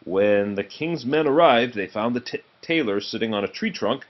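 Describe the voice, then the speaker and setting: A man reading a children's storybook aloud in an expressive narrating style. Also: mid-range voice, normal speed, highs cut off. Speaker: storybook reader.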